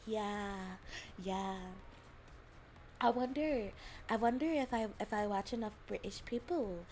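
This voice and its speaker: A woman's voice: medium pitch, a little slow, darkish tone, a little hiss or hum, rough recording. A young adult woman from Alabama chatting casually on a livestream, giggling often and rambling between thoughts. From Alabama, US South. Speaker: streamer.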